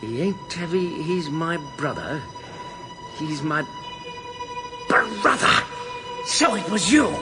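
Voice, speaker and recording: Brash British newshound, high voice, cheeky, blustering, irreverent, radio play with music behind. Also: male voice, sing-song, slow, long pauses. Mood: blustering, theatrical. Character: brash, irreverent male crime reporter at a formal dinner. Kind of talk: radio drama acting.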